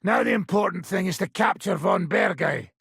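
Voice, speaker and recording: An actor playing a gruff, foul-mouthed, battle-hardened medieval mercenary leader in a video game, raspy and blunt, with sardonic delivery. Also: male voice, sits high, moderate pace, a bit bright, no echo, studio quality, exaggerated voice. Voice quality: raspy, gravelly. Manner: blunt, sardonic, swearing freely. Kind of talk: video game character dialogue.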